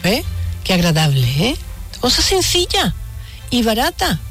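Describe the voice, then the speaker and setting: A woman hosts a radio show with a bright, lively voice that swoops up and down. She chats playfully and fires off quick questions. Faint music plays underneath. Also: a little high, husky. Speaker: radio host. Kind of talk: lively radio chat with listeners.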